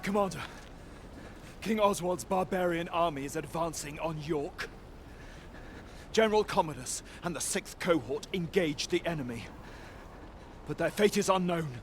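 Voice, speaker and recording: A man plays an urgent Roman messenger in a game cutscene. His formal reporting voice is fairly high for a man, with faint ambience behind it. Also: moderate pace, a little hiss or hum, lightly acted. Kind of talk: dramatic video game cutscene acting.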